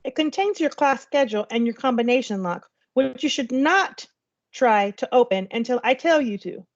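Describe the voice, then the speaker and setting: A woman reading a storybook in the role of a brisk, firm female schoolteacher giving orderly instructions to a class. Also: a little high, animated, highs cut off. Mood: instructing.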